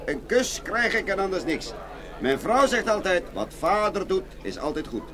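A man's voice: high-pitched, sing-song, normal speed, crowd noise. A man playing a cheerful, naive farmer in a children's fairy-tale audio play, chatty and hearty, full of exclamations. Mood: proud, contented. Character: cheerful, naive farmer, contentedly bartering away his goods.

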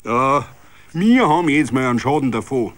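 A bitter Bavarian tradesman, acted out by a male reader, grumbles indignantly in thick dialect. His pitch jumps about freely as he hammers each point home in a tavern scene from a radio play. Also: big pitch swings, moderate pace, natural voice. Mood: scornful.

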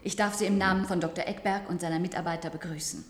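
An actress playing a polite, breezy attendant at an eerie clinic in a German radio play, courteous and reassuring. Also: female voice, lowish voice, fairly even intonation, fast talker, close to an everyday voice. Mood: polite, chirpy.

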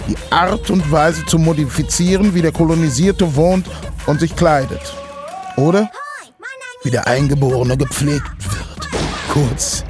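A man playing a pompous, self-satisfied colonial potentate, lecturing grandly in a satirical stage monologue. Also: on the high side. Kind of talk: theatrical monologue in a satirical revue.

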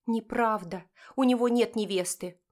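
A woman's voice: a little high, normal speed, darkish tone, voice pushed up, natural voice. A woman voicing an emotional, pleading teenage daughter with breathless exclamations in an audiobook drama. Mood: protesting.

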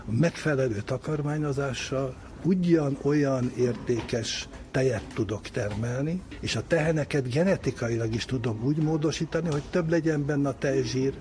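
A man's voice: medium pitch, darkish tone, slight background noise. A man speaking in a radio interview.

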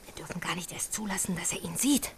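A woman's voice: on the low side, fast talker, in a whisper, lightly acted. A woman playing a refined, anxious young lady traveller in an audio drama, speaking politely and carefully. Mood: anxious, hushed. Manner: polite, careful, often hushed.

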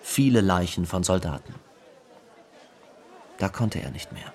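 Gravelly, animated man reminiscing. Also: low voice, a little slow, heavily acted. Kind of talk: radio play documentary monologue.

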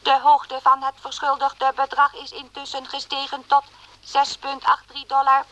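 High, monotone debt collector. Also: female voice, moderate pace. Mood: coldly formal. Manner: formal, monotone, mechanical. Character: cold, unyielding debt collection agency letter voice. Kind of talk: radio drama letter reading. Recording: muffled, noisy old radio recording.

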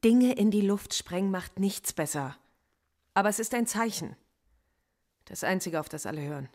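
Radio drama: female actor as middle-aged intellectual professor under questioning, defiant, measured, argumentative, mid-range pitch. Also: animated, darkish tone, close to an everyday voice. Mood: cool, scornful. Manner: measured, argumentative, coolly articulate. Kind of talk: German radio play acting.

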